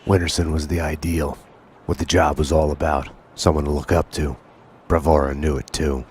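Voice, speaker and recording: Very deep, haunted noir detective. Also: male voice, level tone, a little mellow, a little hiss or hum. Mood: weary, grim. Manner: brooding, deliberate, poetic. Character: haunted, world-weary male noir police detective. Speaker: narrator and main character. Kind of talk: noir game cutscene narration. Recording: music behind the voice.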